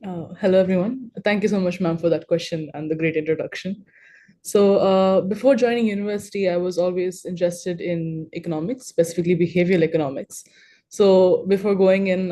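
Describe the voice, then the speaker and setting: A woman's voice: lowish voice, mellow. A young woman from Hyderabad whose first language is Telugu, talking with chatty confidence as a panelist on a video call. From Hyderabad, India. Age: 20s. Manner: chatty and confident, rambling with fillers. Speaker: alumni panelist. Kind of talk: conversational talk on a video call.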